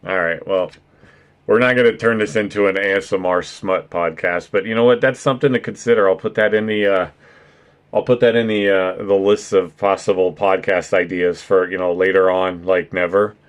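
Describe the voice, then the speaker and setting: A deep-voiced man hosting a laid-back live stream, talking straight to his chat. He jokes around, swears freely and breaks into laughter often. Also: steady tone, normal speed, clear, resonant tone. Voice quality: naturally deep voice. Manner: joking and profane, laughing often. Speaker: streamer and podcast host.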